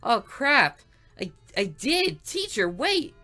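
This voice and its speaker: Boastful loud teen boy, game character, read by a woman, forceful tone. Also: a little high, sing-song, slow, faint music behind, voice pushed up, heavily acted. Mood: panicked. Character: brash show-off teenage boy dreaming big. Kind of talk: reading a visual novel aloud.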